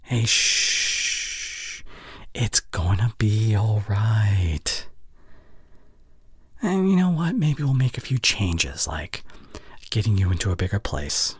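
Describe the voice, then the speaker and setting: A young man playing a caring, anxious but reassuring boyfriend in an intimate ASMR audio roleplay, speaking in a tender, halting way with a breathy voice that often drops into whispers. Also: on the low side, very animated, dry recording, clean studio sound, full character voice.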